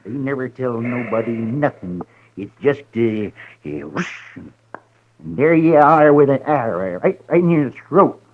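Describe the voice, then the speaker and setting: A man's voice: mid-range voice, animated, a little slow. An actor playing a boastful, bloodthirsty old frontier man who rambles and stammers, in old-time radio western style. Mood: rambling. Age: older. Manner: rambling, blustering, stammering, repeats himself. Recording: dull vintage radio recording.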